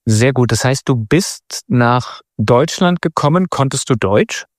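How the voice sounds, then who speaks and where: A man's voice: medium pitch, expressive, a little slow, dry recording, studio quality. A man hosting a weekly interview conversation. He talks in an engaged way, often checking in with short tag questions. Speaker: host.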